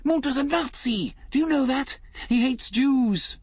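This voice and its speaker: A woman's voice: very deep voice, big pitch swings, a little fast, darkish tone, breathy edge, no echo, pitched above the performer's own voice, heavily acted. A man voicing a distressed young woman who pleads and accuses. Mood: anguished. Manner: anguished, pleading. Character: distressed young woman, idealistic party member.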